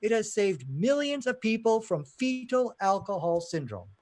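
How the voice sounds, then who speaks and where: A man's voice: sits high, big pitch swings, normal speed. Emphatic debating man from Pakistan. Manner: emphatic, combative, fond of "you know". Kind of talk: live online debate.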